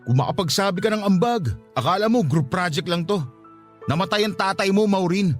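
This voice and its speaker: A man's voice: high-pitched, fairly quick, lightly acted. An actor playing a young adult older brother with a Filipino accent, blunt and sarcastic as he scolds his sister in a Tagalog radio drama. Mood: scolding. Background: soft music underneath.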